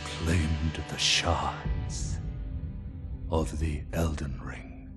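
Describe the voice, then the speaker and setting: A man's voice: extremely low pitch, fairly even intonation, extremely slow, exaggerated voice. An actor playing a solemn, ominous male narrator of a fallen fantasy realm in a video game, speaking with grave, dramatic delivery. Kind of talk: solemn scripted cinematic narration. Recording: game cutscene with loud music behind. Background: loud game music.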